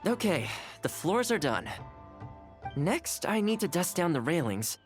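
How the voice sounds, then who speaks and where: A man playing a calm, shrewd young housekeeper of a samurai clan in a video game, speaking in a composed, articulate way. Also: high-pitched, unhurried, soft background music. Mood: composed, easygoing.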